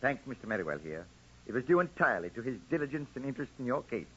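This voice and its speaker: A man's voice: medium pitch, very animated, racing, a bit bright, husky. A man playing a dignified, kindly older judge with formal, courtly speech in 1940s radio acting style. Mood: dignified, impressed. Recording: muffled 1940s radio recording.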